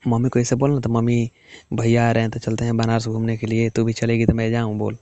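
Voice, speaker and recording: A man's voice: completely flat delivery, fast, warm tone, slight background noise. A young man in a casual private chat on a phone call. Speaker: caller. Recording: muffled low-fidelity phone call recording.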